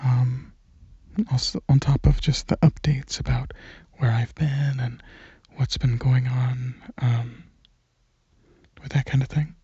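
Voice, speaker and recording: A young man giving a soft-spoken, casual ASMR ramble, halting and hesitant, often slipping into breathy whispers. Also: medium pitch, little pitch movement, no room sound, muffled recording. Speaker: ASMR video creator and channel host.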